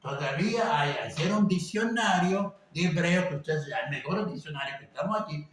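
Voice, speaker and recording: A man from Venezuela gives an informal class in a rambling, halting way, full of fillers and tag questions. His voice is slightly high for a man. It is recorded from a distance in a very echoey room with faint background noise. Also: fairly bright tone. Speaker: teacher. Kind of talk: informal lecture.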